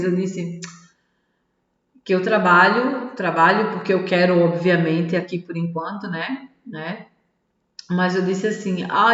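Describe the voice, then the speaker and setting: A woman who coaches tarot and personal development, reading a self-help book aloud and commenting on it in a chatty way, often adding little "tá?" and "né?" tags. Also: mid-range voice. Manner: chatty, peppers sentences with "tá?" and "né?" tags. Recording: echoey room with long reverb, dull lo-fi sound.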